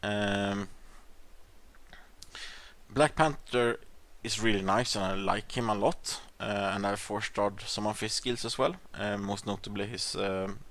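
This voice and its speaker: A man with a Swedish accent gives relaxed gameplay commentary in a somewhat low voice. He thinks out loud, stalling on ums and uhs and restarting his sentences. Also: unhurried. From Sweden. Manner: rambling, hesitant, many fillers and restarts. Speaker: gaming channel host.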